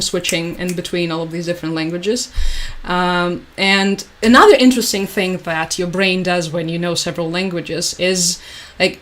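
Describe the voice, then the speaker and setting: Chatty young woman. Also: fairly low pitch. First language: Russian. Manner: chatty, rambling with frequent fillers and restarts. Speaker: livestream host. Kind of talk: casual livestream chat.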